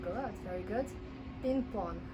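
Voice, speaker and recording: A woman teacher with a Russian accent giving a friendly vocabulary lesson, slowly repeating words and laughing often. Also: mid-range voice, noisy background.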